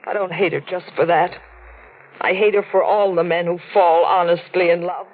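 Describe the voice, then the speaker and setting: A woman's voice: on the low side, level tone, moderate pace, a little hiss or hum, lightly acted. An actress playing an intense, lovesick young woman in a 1940s radio crime drama, earnest and melodramatic. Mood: bitter. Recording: muffled old radio recording, faint music.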